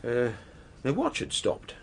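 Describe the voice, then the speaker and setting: An actor playing a blunt, impatient middle-aged gentleman in a radio drama. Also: mid-range voice, huge pitch swings, measured pace, vocal fry, breathy edge, exaggerated voice. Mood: recalling.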